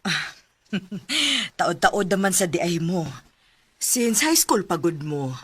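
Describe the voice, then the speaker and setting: An actress playing an easygoing, gently inquisitive middle-aged mother in a radio drama, with a Cebuano accent. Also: lowish voice, sing-song, slow, husky, full character voice. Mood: curious, motherly.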